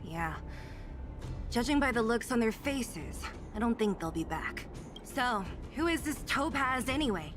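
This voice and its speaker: Game role: tough young female fighter, weary, no-nonsense, moderately high voice. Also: expressive, a little slow, music in the background. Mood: irritated. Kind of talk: video game voice acting.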